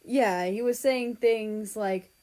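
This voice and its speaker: A woman reads as an easygoing, reassuring male friend in a storybook tale. The voice is on the high side and takes its time, kindly and supportive. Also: slow pace, warm tone. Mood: amused.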